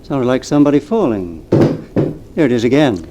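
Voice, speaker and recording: An actor in an old-time radio drama playing a timid, loyal assistant with a conscience, deferential and halting. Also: male voice, medium pitch, very animated, heavy background noise, close to an everyday voice. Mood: dutiful.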